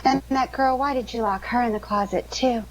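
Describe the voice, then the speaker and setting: An anxious young dame in trouble played by a woman, earnest and pleading in a fairly high voice. It is old-time radio drama acting with faint noise behind. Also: brisk, highs cut off, lightly acted. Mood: uneasy.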